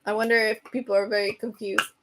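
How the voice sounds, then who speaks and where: A woman hosting a casual livestream, rambling and answering viewer comments, her voice getting hoarse from talking so much. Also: mid-range voice, level tone, fast talker, noisy background. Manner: chatty, rambling, reads and answers comments, laughs often. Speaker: livestream host chatting with viewers.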